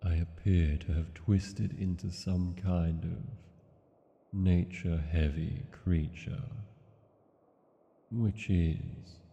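A man playing an arrogant, brooding dragon king in a fantasy ASMR audio roleplay, speaking deliberately with long pauses and a haughty manner. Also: low-pitched, fairly even intonation, creaky, mellow, exaggerated voice. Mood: haughty, musing. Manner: slow, deliberate, pausing, haughty. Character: arrogant, brooding male dragon king reading his journal. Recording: muffled, long cavernous reverb.

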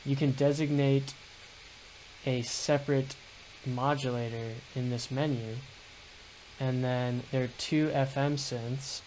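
A man's voice: fairly even intonation, slow, pauses often, a little mellow, slight background noise, rough recording. A young man, a music producer, giving a laid-back, casual screen-share tutorial. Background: synth bass sounds.

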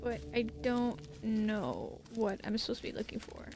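A woman's voice: on the high side, sing-song, normal speed, music in the background. A woman giving casual gameplay commentary on a horror game, playfully thinking aloud as she goes. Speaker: gaming video host playing a horror game.

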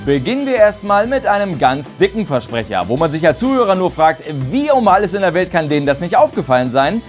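A man's voice: sits high, a little fast, soft background music. A man in his thirties hosting a channel, explaining things casually to camera with a lapel mic in an indoor studio set. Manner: animated, expressive, chuckles often. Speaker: channel host.

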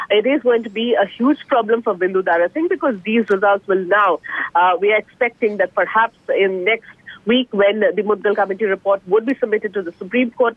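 A woman's voice: medium pitch, slightly rough, dry recording. A woman reporter with an Indian accent giving a wordy, hesitant, explanatory live news report by phone. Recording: dry, narrow tinny phone line.

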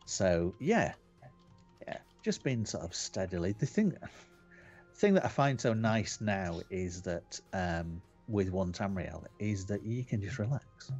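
Rambling Yorkshire man, low voice. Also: lively intonation, a little slow, darkish tone, dull audio, faint music behind. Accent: Yorkshire English. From West Yorkshire, England. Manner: chatty, rambling, with fillers and repeats. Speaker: podcast host. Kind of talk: casual chat on a game webcast. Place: home room lit red.

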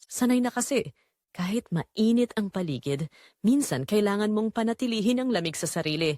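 Quiet, wise older man read by a woman for romance audio drama: measured, thoughtful, dry studio-like sound. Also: medium pitch, lively intonation, no room sound, close to an everyday voice. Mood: calm, wise.

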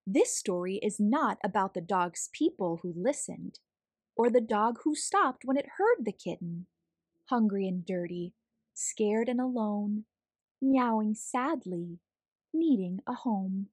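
A woman reading a children's picture book aloud as a storyteller, in a deliberate, expressive, measured way. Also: medium pitch, husky, no room sound, clean studio sound. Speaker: read-aloud storyteller, host.

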